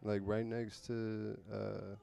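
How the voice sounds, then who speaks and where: Soft-spoken man with a deep, low voice. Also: completely flat delivery, slow pace, creaky, clear, resonant tone. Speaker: podcast co-host. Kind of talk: casual chat. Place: radio studio. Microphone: close studio microphone.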